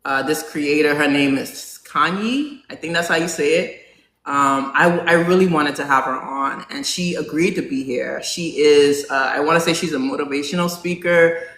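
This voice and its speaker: Bright-voiced chatty host in their forties. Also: mid-range voice, normal speed. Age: 40s. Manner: chatty, many "um"s, asks the audience questions. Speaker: live talk show host. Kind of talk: casual live chat to an audience. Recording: roomy echo, faint background hum.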